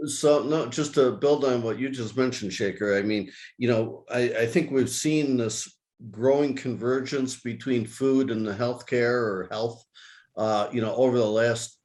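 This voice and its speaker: A man co-hosting a podcast in a studio, speaking in a measured way with hesitant uhs. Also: on the low side, a little mellow. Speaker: podcast co-host. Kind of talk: podcast interview.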